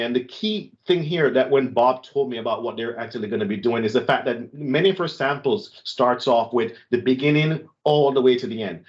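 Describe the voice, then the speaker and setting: A man's voice: medium pitch, muffled recording. A man co-hosting an online developer community call, running through announcements in a conversational way with frequent fillers and restarts. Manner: conversational, warm thanks, many fillers and restarts.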